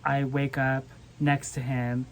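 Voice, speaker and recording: A woman's voice: a bass voice, level tone, slow pace. A young woman hosting a casual book chat in an informal, conversational, hedging manner. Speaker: book vlogger. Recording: clear background noise.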